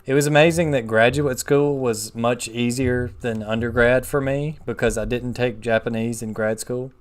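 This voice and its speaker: A man's voice: medium pitch, fairly even intonation, moderate pace. A man in his thirties chatting casually with rambling asides while playing a video game on stream. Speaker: gaming streamer. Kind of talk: casual let's play chat.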